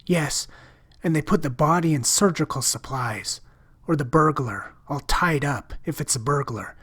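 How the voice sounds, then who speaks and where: A man playing a timid young woman in a storybook, her voice raised and husky, tumbling out in fearful whispers. She talks in a breathless rush, as if afraid of being overheard. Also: fairly high pitch, unhurried, fairly bright tone. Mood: frightened. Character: timid frightened young woman hiding in a store. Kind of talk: storybook reading.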